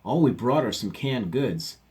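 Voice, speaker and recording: A man's voice: medium pitch, lively intonation, a little slow, darkish tone, natural voice. A man voicing a practical, doubtful mother bear in a storybook read-aloud. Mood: skeptical. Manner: skeptical, practical.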